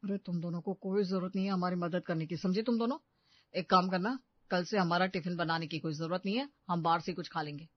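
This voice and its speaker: A woman's voice: on the low side, fairly quick, dry recording, dull audio, pitched below the performer's own voice, close to an everyday voice. A woman voicing an exasperated young husband in a cartoon family comedy, scolding and complaining. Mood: irritated, scolding. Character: grumbling, exasperated young husband and elder brother.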